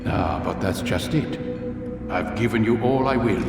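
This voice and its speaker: Commanding old assassin master, low voice, deliberate lecturing, video game drama, long reverb, music behind. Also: male voice, vocal fry. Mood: stern, measured. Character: stern old male master of an assassin brotherhood. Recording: reverberant hall, distant voice, music underneath.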